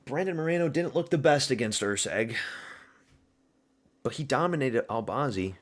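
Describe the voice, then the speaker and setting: A man's voice: medium pitch, expressive, normal speed. A man from the American South hosting a fight watch party stream, talking loosely and profanely with his viewers. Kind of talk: casual live-stream commentary.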